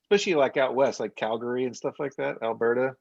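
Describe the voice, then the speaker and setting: A man with a Northeastern American accent talks in a loose, rambling way, hopping from one story to the next. The sound is dry and a bit dull. His pitch sits in an ordinary middle range. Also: fairly even intonation, fast, gravelly, no echo. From United States. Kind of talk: casual chat. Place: home studio in South Jersey.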